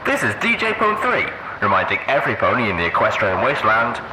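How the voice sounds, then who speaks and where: Casting: brash pony radio DJ for an audio story, sarcastic showman, wildly animated pitch, noisy backing. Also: fast talker, echoey room. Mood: scathing sarcasm. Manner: sarcastic, showy announcer delivery. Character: brash, mocking pony radio DJ, theatrical announcer. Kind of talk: radio news bulletin.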